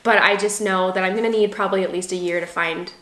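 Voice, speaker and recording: Candid woman vlogger, bright voice, echoey room. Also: medium pitch, fairly even intonation, fast. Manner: candid and emotional, frequent "like" fillers. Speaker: lifestyle vlogger, business owner. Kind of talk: candid personal vlog, talking to camera.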